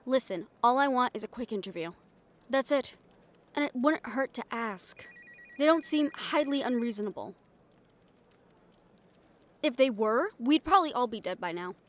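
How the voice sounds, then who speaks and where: A woman's voice: on the high side, expressive, normal speed, pauses often, close to an everyday voice. A woman playing a brash, stubborn, ambitious young reporter in an improvised audio roleplay, with a sarcastic, cocky, conversational delivery. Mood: insistent, persuasive.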